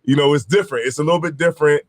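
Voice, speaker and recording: A man's voice: on the high side, racing. Rambling man hosting a group chat. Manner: rambling, repeats words while talking. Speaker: host.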